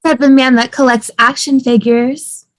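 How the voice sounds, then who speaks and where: Upbeat young wife, read by a woman, high register, casual enthusiasm, sitcom script. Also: fairly even intonation, normal speed, lightly acted. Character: upbeat young wife excited about inherited house.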